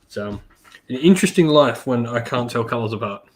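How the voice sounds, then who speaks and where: A man hosting a casual hobby stream, a little croaky from being sick, laid-back with lots of ums. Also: mid-range voice, expressive, fairly quick, a little hiss or hum. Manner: laid-back, many ums, greets chat viewers by name. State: slightly croaky from illness. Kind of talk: casual live-stream chat while painting miniatures.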